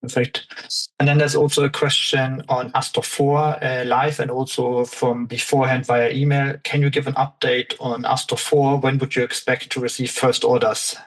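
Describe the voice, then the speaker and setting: A man's voice: mid-range voice, little pitch movement, normal speed, low-quality recording. A man moderating a business call, giving instructions and reading out questions in a businesslike way.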